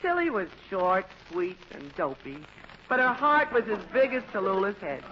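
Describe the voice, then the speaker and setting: A woman's voice: medium pitch, very animated, moderate pace. A woman giving scripted, wry and playful comic narration for a vintage radio show. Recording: muffled, noisy old radio recording.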